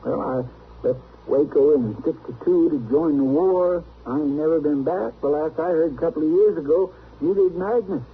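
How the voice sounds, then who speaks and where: Fretful Southern cowboy sidekick, higher voice. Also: male voice, moderate pace, slightly rough. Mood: exasperated. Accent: American South. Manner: folksy drawl, fretful, exasperated. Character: anxious, folksy male marshal's sidekick in a western. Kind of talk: old-time radio western acting. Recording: noisy, tinny old radio recording.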